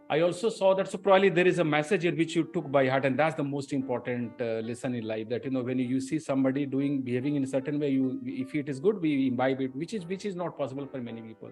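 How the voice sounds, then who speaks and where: A man's voice: fairly high pitch, darkish tone. A middle-aged man hosting an interview, effusive and full of praise for his guest. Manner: effusive and praising, laughs easily. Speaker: interview host. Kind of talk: unscripted conversational interview.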